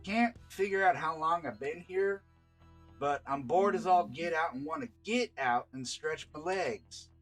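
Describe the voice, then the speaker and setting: A man acts out a carefree young-at-heart elf adventurer for a tabletop story. He talks in a rambling Southern US drawl, pitched on the high side. He is cocky and totally unbothered, with faint music underneath. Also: measured pace, a bit bright. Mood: bored, carefree.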